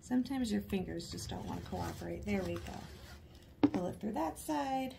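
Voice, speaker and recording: Chatty, hoarse woman crafting at home. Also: medium pitch, lively intonation, normal speed, very noisy. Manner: chatty, self-deprecating, giggly. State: hoarse, froggy voice. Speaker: craft vlogger. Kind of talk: casual DIY tutorial narration. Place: living room, working at a coffee table.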